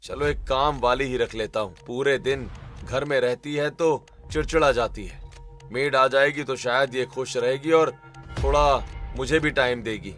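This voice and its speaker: A tired young husband from a family drama, played by a male storyteller, complains in a pleading, put-upon way. His voice is bright and a little high, over faint music. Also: moderate pace, lightly acted. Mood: resigned. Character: tired, frustrated young office-working husband, neglected and conciliatory.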